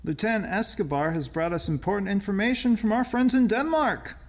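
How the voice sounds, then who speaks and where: A man's voice: high-pitched, brisk, lightly acted. A man playing a smug, scheming boss in a drama, mocking and politely menacing in his delivery. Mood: commanding. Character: smug, scheming male boss who manipulates and blackmails. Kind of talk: acted dramatic dialogue.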